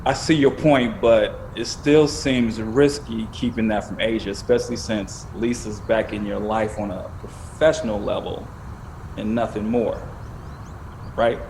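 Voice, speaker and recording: A man's voice: lowish voice, fairly even intonation, a little slow, darkish tone, a little hiss or hum, poor audio. A man acting in an audio drama as a blunt, tired cop who needles his friend in colloquial, probing talk.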